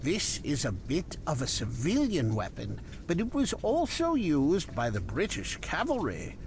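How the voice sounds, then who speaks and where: Slow, lilting male weapons merchant, acted by a man. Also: a little high, huge pitch swings, gravelly, faint music behind, exaggerated voice. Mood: helpful, musing. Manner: unhurried, conversational salesman patter with dramatic pauses. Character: chatty, obliging male weapons merchant of the musket era. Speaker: game shopkeeper character. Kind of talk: video game merchant voice lines.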